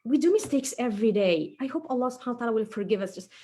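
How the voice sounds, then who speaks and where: A woman's voice: a little high, animated, racing, dark tone, a little hiss or hum, close to an everyday voice. Children's puppet show: chatty, conscientious young child played by a woman, thinking aloud in simple words. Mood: remorseful.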